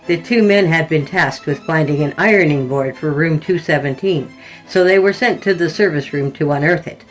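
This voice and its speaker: Low-voiced woman narrating weird horror fiction. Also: normal speed, soft background music, poor audio. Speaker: podcast host and story narrator. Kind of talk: scripted horror storytelling.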